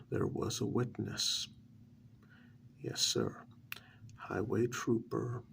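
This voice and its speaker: Man playing a gravelly, soft-spoken, deferential agent. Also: on the low side, a little hiss or hum, exaggerated voice. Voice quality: breathy, half-whispered, gravelly. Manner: measured, halting, deferential. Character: deferential facility agent reporting to his superior on a runaway. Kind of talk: soft-spoken ASMR role-play.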